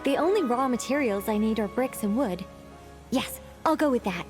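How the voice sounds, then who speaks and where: A woman's voice: fairly high pitch, expressive, normal speed, music in the background, close to an everyday voice. A woman voicing a whimsical, self-important female mage in a video game, theatrical, musing and grandly commanding. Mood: pensive, self-absorbed.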